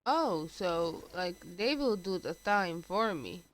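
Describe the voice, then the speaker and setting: Woman streaming a game, chatting casually and slowly, bit of music in the back. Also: on the low side, expressive, poor audio. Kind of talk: casual gameplay commentary.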